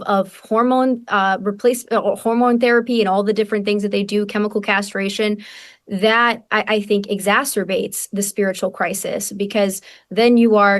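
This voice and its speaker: A young woman journalist answering as a guest, earnest and full of restarts and repeated words. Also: mid-range voice. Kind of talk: conversational interview answer.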